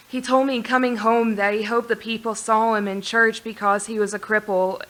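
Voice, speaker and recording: A woman's voice: mid-range voice, fairly bright tone, close to an everyday voice, rough recording. A woman playing a devoted, plain-spoken mother in a radio play, homely and conversational.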